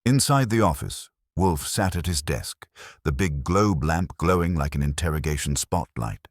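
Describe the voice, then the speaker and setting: A man narrating a scripted detective story as an audiobook, in a measured, theatrical noir manner. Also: rumbling low, lively intonation, no room sound, studio quality.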